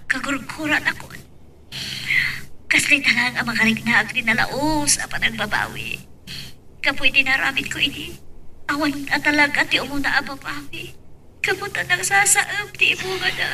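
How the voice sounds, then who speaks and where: A woman's voice: sits high, animated, normal speed, sharp tone, slight background noise, full character voice, poor audio. A woman playing a tearful, aggrieved young woman in a melodramatic radio drama. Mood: hurt, tearful.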